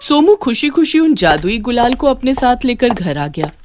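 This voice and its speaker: A woman narrating a scripted children's moral story in an expressive storytelling manner. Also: fairly high pitch, moderate pace. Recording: faint music behind.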